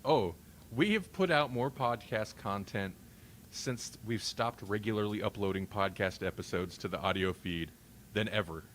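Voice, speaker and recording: A man's voice: fairly low pitch, moderate pace, fairly bright tone. A man hosting a casual podcast, talking in a rambling, wry way and often interrupting himself. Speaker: podcast host. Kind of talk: casual banter on a live-streamed podcast.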